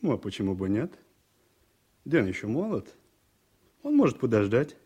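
An actor playing a gossipy court clerk in a radio drama, talking in a familiar, insinuating way. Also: male voice, mid-range voice, big pitch swings, normal speed, mellow, husky. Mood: chatty, curious. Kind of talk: Soviet-era radio drama acting.

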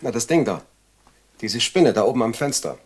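A man's voice: medium pitch, animated, normal speed, slightly rough. An actor playing an irritable, paranoid man with haunted memories, agitated and insistent, in a radio drama. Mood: irritated, insistent. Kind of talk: German radio drama acting.

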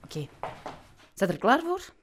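A high-voiced Flemish woman in a radio comedy, stubborn and doting on her dog. Her colloquial speech swings very widely in pitch between coaxing and exasperation. The recording is clearly noisy. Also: very animated, a little slow. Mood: competitive. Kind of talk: naturalistic radio comedy acting.

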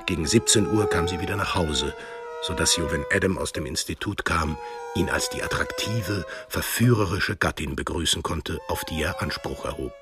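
A man's voice: sits low, huge pitch swings, moderate pace, music in the background. A man narrating a scripted radio drama as a storyteller.

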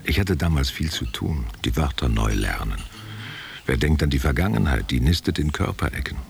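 Very deep, gravelly old stage actor. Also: male voice, expressive, a crowd in the background, full character voice. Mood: wistful, flirtatious. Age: older. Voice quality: gravelly, husky. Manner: musing, halting, poetic. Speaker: actor in a radio play. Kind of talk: literary radio play monologue, intimate and theatrical.

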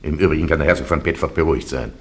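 A man playing a stern, very deep-voiced bishop. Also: very fast. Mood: dismissive. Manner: curt, authoritative. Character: stern older male bishop presiding over the court.

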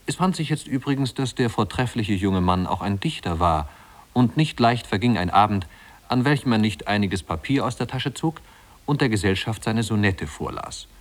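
A man narrating a literary tale in a 1950s radio drama, in a measured, wry storytelling manner. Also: lowish voice, expressive.

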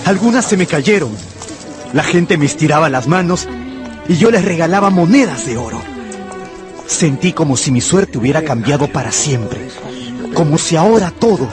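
Fervent young tutor over music. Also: male voice, fairly high pitch. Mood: exhilarated narration. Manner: fervent, theatrical. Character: impassioned, lovestruck young tutor telling his story. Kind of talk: dramatic first-person narration and radio drama acting in Spanish.

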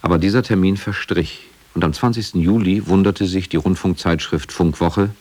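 A man reading scripted factual narration for a radio documentary in a measured, sober manner. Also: low voice, darkish tone.

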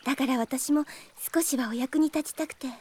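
A woman playing a gentle, polite, earnest young healer in a Japanese radio drama. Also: sits high, moderate pace, natural voice. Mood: earnest, pleading. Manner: soft-hearted, polite, earnest. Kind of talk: Japanese anime-style radio drama acting.

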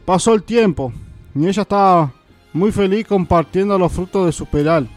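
A man's voice: high-pitched, normal speed, a little mellow, faint music behind. A man reading a scripted folk tale as the narrator of a radio play, with an occasional stumble. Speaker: storyteller, narrator.

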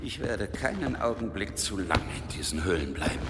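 An actor playing a gruff, impatient, sardonic man in a German audio drama, curt and mocking with his companion. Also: sits low, lively intonation, brisk, heavy background noise. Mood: gruff impatience. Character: gruff, impatient, mocking Danish male wanderer. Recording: long cave-like reverb, music underneath.

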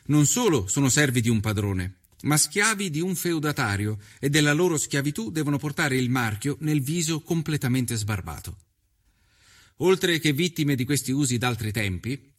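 An actor playing an earnest Italian journalist of the 1890s, narrating his inquiry in a measured, literary first person. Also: medium pitch, lightly acted.

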